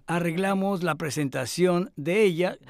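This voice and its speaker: An elderly philosopher in a dubbed interview. His voice sits high for a man. He talks reflectively and modestly, with small hesitations, as if in conversation. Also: unhurried, creaky. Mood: reminiscing. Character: elderly male philosopher reminiscing, thoughtful and modest. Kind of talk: Spanish voice-over dub of an interview.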